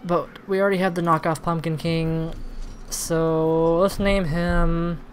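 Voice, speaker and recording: A man doing casual let's-play commentary, thinking aloud in a rambling way, with a slightly hoarse voice. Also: on the high side, flat delivery, takes it slowly. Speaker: host of a gaming channel. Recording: noisy recording with background ambience.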